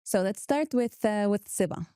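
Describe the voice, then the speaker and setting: A woman podcast host with a soft-edged, mellow tone, recorded dry and close. She asks her questions conversationally, politely and with gentle persistence. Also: mid-range voice, expressive, normal speed, clean tone, dry recording. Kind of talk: conversational interview.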